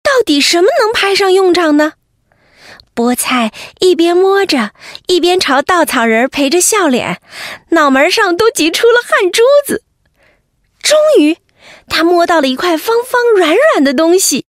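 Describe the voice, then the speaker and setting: Female children's storyteller, high-pitched, animated narration with wide swings, dry close recording. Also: measured pace, no room sound. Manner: animated, addresses children directly. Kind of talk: expressive bedtime story narration.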